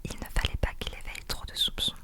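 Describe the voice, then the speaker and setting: A woman reading a novel aloud as whispered ASMR, in a breathy, unvoiced, soft and unhurried narration.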